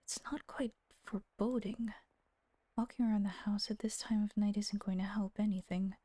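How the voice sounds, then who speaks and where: A woman voicing a fretful young master of a gothic house in a visual novel, brooding to himself in a breathy half-whisper. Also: mid-range voice, steady tone, warm tone, dry recording, close to an everyday voice. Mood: uneasy, hushed. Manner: slow, brooding self-talk.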